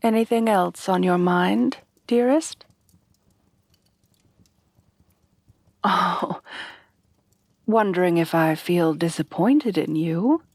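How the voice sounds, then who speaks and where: A woman playing a refined, tender headless horsewoman bride in a soft-spoken ASMR roleplay, with formal, genteel phrasing. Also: lowish voice, slow pace, dry recording. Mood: tender, reassuring. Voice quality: soft, breathy at times.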